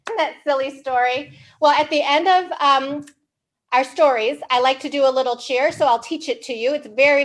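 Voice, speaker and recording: Slow, high-voiced woman leading children's storytime. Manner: exaggerated, encouraging, with simple questions to young listeners; slow, simple wording for English learners. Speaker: children's storytime librarian host. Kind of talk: animated read-aloud and teaching for young children.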